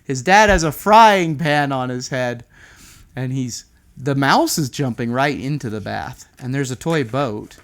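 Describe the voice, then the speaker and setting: Male read-aloud host, mid pitch, lively swooping delivery, playful, chatty asides. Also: a little slow. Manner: playful, childlike enthusiasm. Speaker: host reading a picture book aloud.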